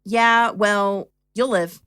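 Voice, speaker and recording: A woman's voice: medium pitch, steady tone, extremely slow, fairly bright tone, slight background noise. A woman playing a playfully bossy, affectionate young girlfriend in a naturalistic audio drama. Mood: wry.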